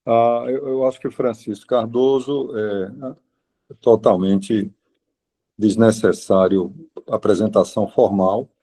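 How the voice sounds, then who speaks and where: A man moderating an online lecture and introducing the speaker over a video call. He speaks in halting phrases and often restarts. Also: on the low side, steady tone, warm tone, slightly rough.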